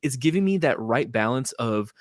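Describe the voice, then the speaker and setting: A man's voice: medium pitch, expressive, normal speed, no room sound, clean studio sound. A man co-hosting a casual gaming podcast, talking in an informal, rambling, enthusiastic way. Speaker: podcast co-host.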